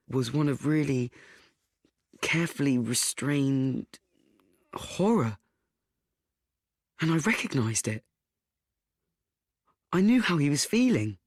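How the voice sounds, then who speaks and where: A young actor playing an anxious, self-doubting teenage boy, confiding in a halting, stammering radio play monologue. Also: medium pitch, breathy edge, no room sound, exaggerated voice. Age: young adult.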